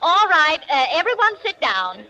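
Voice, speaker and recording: An actress playing a lively, commanding society hostess in a theatrical 1940s radio drama style, poised and gracious. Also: female voice, sits high, normal speed, exaggerated voice. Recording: muffled 1940s radio recording with music.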